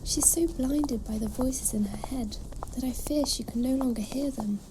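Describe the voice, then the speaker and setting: A woman playing a gentle, reverent, fearful cat nun in an audio drama, with a breathy, hushed, earnest delivery. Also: high voice, moderate pace, background noise, natural voice. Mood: solemn, fearful. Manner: earnest, solemn.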